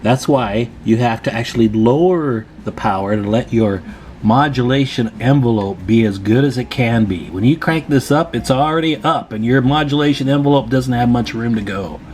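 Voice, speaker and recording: A man's voice: mid-range voice, normal speed, darkish tone, slight background noise. A man presenting a hands-on repair tutorial in a casual, plain-spoken, advising way.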